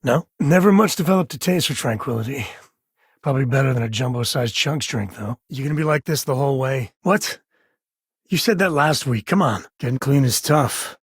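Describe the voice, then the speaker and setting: An actor playing a wry, easygoing space cowboy explorer in a video game, a man with a husky, raspy voice and folksy, laid-back phrasing. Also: medium pitch, animated, normal speed, no echo, studio quality. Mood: wry, teasing. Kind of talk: video game character voice lines.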